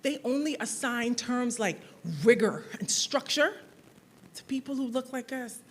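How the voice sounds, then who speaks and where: A woman's voice: on the high side, a little slow, a little hiss or hum. A woman panelist and teacher, speaking earnestly and emphatically in a university panel discussion. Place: university lecture hall.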